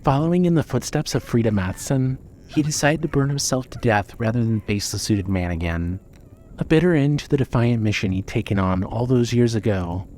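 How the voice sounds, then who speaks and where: A man's voice: mid-range voice, a little fast, a little mellow. Solemn male narrator over background noise. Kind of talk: scripted dramatic story narration.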